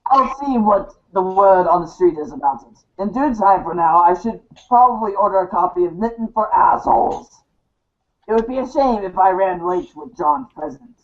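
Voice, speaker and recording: Man playing a high, aloof teenage girl. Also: moderate pace, dull audio. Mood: dry, sardonic. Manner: deadpan, formal wording.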